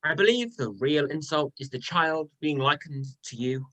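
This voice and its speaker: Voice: medium pitch, lively intonation, moderate pace, fairly bright tone, close to an everyday voice, low-quality recording. An amateur actor playing a mocking male trickster god with dry sarcasm in a myth play reading.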